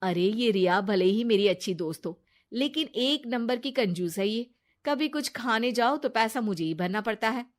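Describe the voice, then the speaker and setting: A woman's voice: a little high, expressive, normal speed, natural voice. A woman voicing a scheming, deceitful young female friend in a brash, wheedling story-narration style. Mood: catty. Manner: brash, wheedling, fake sobbing.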